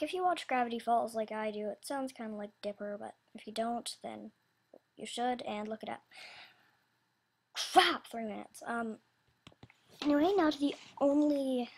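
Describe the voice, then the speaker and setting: A woman's voice: on the high side, vocal fry, slightly rough. A young woman with a youthful, somewhat childish voice, chatting casually without a script and rambling as she hesitantly searches for words. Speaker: video creator announcing animation voice auditions. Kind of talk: casual unscripted chat.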